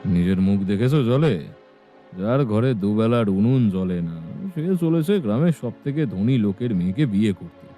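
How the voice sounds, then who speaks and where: A man's voice: fairly low pitch, lively intonation, normal speed, warm tone, soft background music, lightly acted. A man playing an arrogant, taunting rich young village rival in a cartoon, sneering and mocking.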